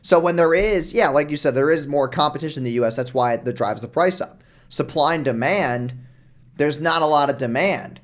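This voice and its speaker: A young man from New York, a guest on a podcast, talking fast and casually, throwing in "dude" and "like" often. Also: on the high side, a bit bright. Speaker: guest, marketer.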